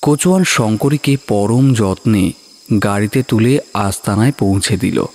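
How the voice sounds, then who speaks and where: A man narrating a horror story in a measured, dramatic, suspenseful manner. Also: lowish voice, animated, darkish tone.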